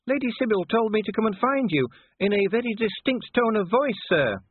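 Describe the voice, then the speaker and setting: A man's voice: high-pitched, expressive, fairly quick, narrow, tinny audio, slight background noise, pitched above the performer's own voice. A man voicing an anxious, deferential female dwarf officer in an audiobook, polite and flustered.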